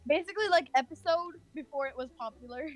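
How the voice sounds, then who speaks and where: A woman's voice: high-pitched, fairly quick. A young American woman telling a personal story casually, in a rambling way peppered with "like". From California, United States. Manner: rambling, heavy use of filler "like".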